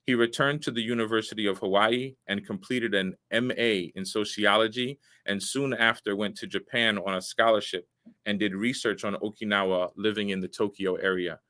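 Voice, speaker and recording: A man's voice: on the low side, fairly even intonation, sharp tone. Earnest male talk show host. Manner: earnest, measured, with frequent pauses and fillers. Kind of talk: hosting a live online talk show.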